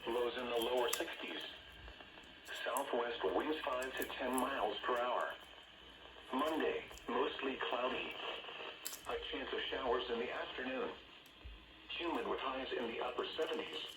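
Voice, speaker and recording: A man formally and flatly reading a scripted weather forecast as a radio announcer. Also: mid-range voice, unhurried, long pauses. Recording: noisy radio speaker, handheld camera capture.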